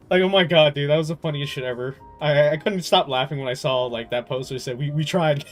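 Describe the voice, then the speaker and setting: A man's voice: fairly high pitch, normal speed, faint music behind. A man chatting casually over gameplay as a livestreamer, rambling and opinionated, with slangy, amused, incredulous talk and some swearing. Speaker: livestreamer playing a video game.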